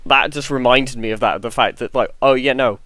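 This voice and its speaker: Man with a clear voice, dry sound. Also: medium pitch, fairly quick, pushed and bright, no room sound. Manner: earnest, reflective. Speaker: podcast panelist. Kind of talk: casual podcast discussion.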